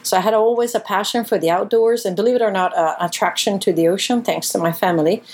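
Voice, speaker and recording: A woman's voice: mid-range voice, fast, darkish tone. Woman in her forties. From Italy. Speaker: guest, professional shark diver. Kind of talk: conversational interview answers.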